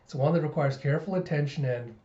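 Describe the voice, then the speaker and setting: Guy doing a serious spy dad from a cartoon, mellow, measured and formal, roomy echo. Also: on the high side, lo-fi sound, lightly acted. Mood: earnest. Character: composed, serious father, secretly a calculating spy.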